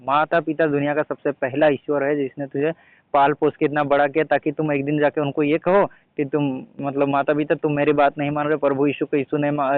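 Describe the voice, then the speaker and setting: A man's voice: on the high side, dry recording. A man debating in an argumentative way on a phone call, pressing insistently with repeated questions. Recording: muffled phone call recording, noisy.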